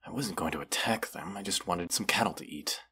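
A man's voice: low-pitched, sharp tone, slightly rough, exaggerated voice. A man playing a proud, menacing, weary dragon who distrusts humans, talking haughtily in a fantasy audio roleplay. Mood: weary, bitter. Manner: slow, deliberate, haughty, with long pauses. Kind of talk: scripted audio roleplay monologue.

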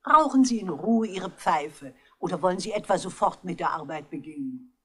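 A woman's voice: on the low side, lively intonation, moderate pace, a bit bright. An elderly, well-bred widow in a vintage radio play. Her delivery swings expressively up and down, theatrical and gracious, sweetly coaxing with a sly edge. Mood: gracious hostess. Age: older. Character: genteel elderly French widow, charming, sly, sweetly manipulative. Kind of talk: 1960s German radio crime drama acting.

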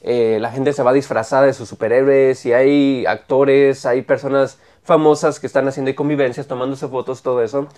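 A man's voice: mid-range voice, level tone, a little fast, hardly any pauses, a little mellow. A man in his thirties from Mexico with a Mexican accent, chatting on a studio podcast, often stumbling over words, repeating himself and laughing. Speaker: podcast co-host. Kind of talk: casual chat. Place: small studio with brick-wall set. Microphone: clip-on lapel mic.

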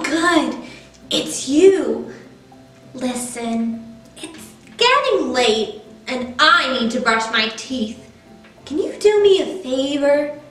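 A woman's voice: high voice, a bit bright, heavily acted. A woman voicing a courteous, tired bus driver who confides in the listener in a children's picture book. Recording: roomy echo, distant microphone.

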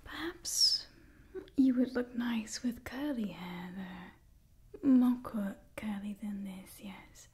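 A young woman playing a refined, doting vampire clan leader in a whispered ASMR roleplay, speaking in a genteel, gently imperious manner. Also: medium pitch, slow pace, close to an everyday voice. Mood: doting, admiring. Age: young adult. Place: small indoor room with wooden backdrop.

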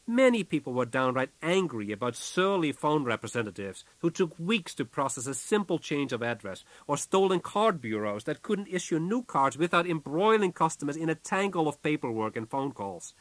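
A man reading a business audiobook from a script in a measured, businesslike way, as its author. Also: fairly high pitch, sing-song, fairly bright tone, no echo.

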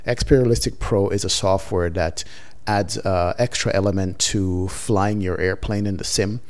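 A man hosting a podcast, introducing the episode in a relaxed way with lots of fillers. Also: low-pitched, moderate pace. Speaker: podcast host.

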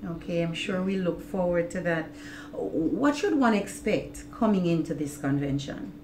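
Mellow woman interviewer in a roomy space. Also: fairly low pitch, measured pace. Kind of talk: formal, polite interview questions. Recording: roomy office echo, faint noise.

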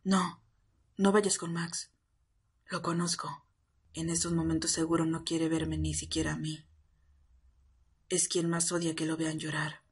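A breathy, husky young male half-vampire as a performer acts him confides in the listener. He is miserable, tearful and lovesick, in an intimate ASMR roleplay with little swing in pitch. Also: flat delivery, measured pace, long pauses. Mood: sheepish, subdued. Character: miserable, self-pitying half-vampire young man in love.

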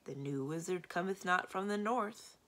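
A woman's voice: lowish voice, animated, a bit bright. Woman as a glum, plodding storybook monster. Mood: patient, weary. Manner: ungrammatical, slow, mournful. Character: three-headed male monster, glum, plodding, uneducated, loyal.

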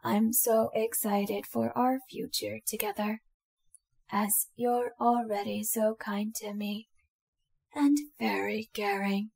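A woman playing an affectionate, shy young female companion droid in a roleplay audio drama, speaking softly and sweetly to the listener. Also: on the high side, steady tone, slow pace, gravelly, slightly rough, heavily acted. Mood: affectionate, eager.

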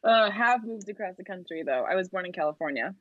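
A woman's voice: fairly low pitch, lively intonation, quick pace, no echo, muffled recording. A young woman from the United States, a guest on a video call, chatting casually with frequent laughs and lots of "like". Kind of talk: casual interview chat. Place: room at home by a window.

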